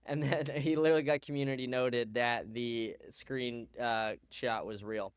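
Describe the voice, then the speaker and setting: A man hosting a stream, blunt and profane as he rants at his subjects. His voice comes across smooth and resonant. The sound is dry and close, with no room around it. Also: mid-range voice, steady tone, normal speed, no echo. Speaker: show host. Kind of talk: live-stream commentary.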